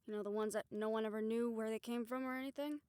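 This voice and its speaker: Woman playing a steady, higher-voiced young hunter. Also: fast talker, clear, resonant tone, dry recording, natural voice. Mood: practical. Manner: calming, practical. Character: level-headed young woman hunter, steady caring leader. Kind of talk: dramatic audio roleplay acting.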